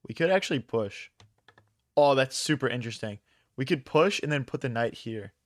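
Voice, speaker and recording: A young man talks through his chess moves live, tossing out slang and answering chat as he goes. His voice sits at a middle pitch, recorded close in a dry small room. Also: expressive, dry recording. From Philadelphia, USA. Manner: thinks aloud, casual slang, quick reactions to chat. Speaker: chess streamer. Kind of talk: casual live-stream commentary.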